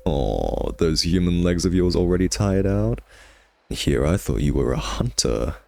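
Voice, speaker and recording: A man's voice: low-pitched, very animated, measured pace, gravelly, a little mellow, no echo, full character voice. A man playing a cocky, sardonic vampire nemesis who teases and taunts the listener in an intimate ASMR roleplay. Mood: mocking, playful.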